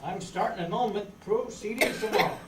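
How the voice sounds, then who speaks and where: A man's voice: high voice, fairly quick, lightly acted. A man as curt betrayed lawyer, noisy recording. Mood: cold, indignant. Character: cold, betrayed lawyer husband. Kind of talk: amateur old-time radio play acting. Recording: noisy, echoey, distant room recording.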